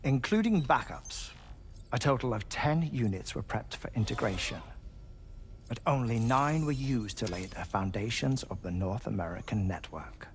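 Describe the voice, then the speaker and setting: An actor playing a measured, formal investigator who explains things deliberately and courteously in a video game cutscene. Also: male voice, mid-range voice, lively intonation, a little hiss or hum, lightly acted. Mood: grave, explanatory. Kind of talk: dramatic expository game cutscene dialogue.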